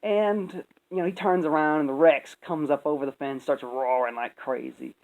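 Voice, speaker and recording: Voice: mid-range voice, fairly even intonation, normal speed, darkish tone, low-quality recording. A speaker giving casual, opinionated commentary in a rambling, emphatic, sweary way. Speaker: video commentator.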